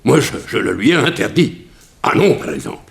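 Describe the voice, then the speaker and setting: An anxious immigrant father in an old 1960s French radio play. His mid-pitched voice is gravelly and creaky, and he is strict yet fretful, stumbling hesitantly over his words. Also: big pitch swings, fairly quick. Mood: stern, indignant.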